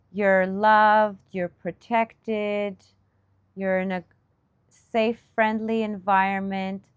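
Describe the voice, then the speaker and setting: A woman leading a guided meditation in a soothing, gentle manner, speaking in short unscripted phrases with long pauses. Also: medium pitch, dry recording. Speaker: meditation teacher.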